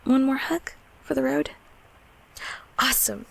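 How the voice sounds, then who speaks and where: Woman playing a gentle, breathy closet monster, slow-spoken. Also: high-pitched, heavily acted. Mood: tender, affectionate. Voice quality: breathy, husky, often near a whisper. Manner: slow, hesitant, tender. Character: gentle childhood closet monster, affectionate, reassuring, a bit awkward. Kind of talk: comforting audio roleplay, spoken to the listener.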